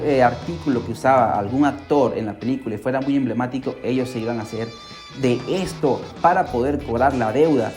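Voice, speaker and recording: Male podcast storyteller, Peruvian accent, somewhat high-pitched, informal and theatrical delivery, light music bed. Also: a little mellow. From Peru. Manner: colloquial, dramatic, with rhetorical questions. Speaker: podcast host. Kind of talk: animated storytelling podcast narration.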